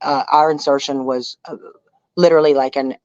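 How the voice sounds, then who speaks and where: A woman's voice: very deep voice, fairly even intonation, fairly quick, darkish tone, smooth, no echo. Candid woman storyteller on a dull, dry connection. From Houston, Texas area. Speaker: interview guest, outdoorswoman and reality show contestant. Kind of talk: casual storytelling in an interview.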